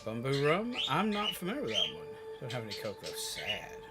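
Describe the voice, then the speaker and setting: A man livestreaming, chatting casually and rambling to viewers in an animated, emphatic, opinionated way. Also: medium pitch, a little fast, loud music behind the voice. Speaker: live streamer. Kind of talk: casual rambling chat with viewers while gaming.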